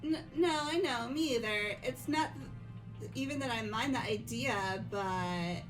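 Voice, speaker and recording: A woman voicing a timid, sweet teenage schoolgirl with a soft-hearted, hesitant manner in a fan-voiced game. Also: on the high side, measured pace, music in the background, close to an everyday voice, low-quality recording. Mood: shy, hesitant. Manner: hesitant, apologetic. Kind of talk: amateur dub of a visual novel game.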